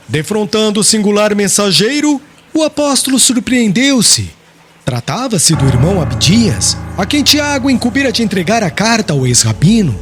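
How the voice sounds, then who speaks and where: A man narrates a religious story in a high voice that moves widely up and down. His reading is solemn and carefully phrased, in formal literary style. Music plays clearly behind him throughout. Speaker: audiobook narrator. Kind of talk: scripted audiobook narration of a religious novel.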